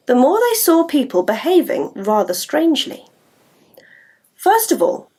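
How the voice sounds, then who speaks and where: A British woman telling a story to young children in an animated way and coaxing them to join in. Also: high voice.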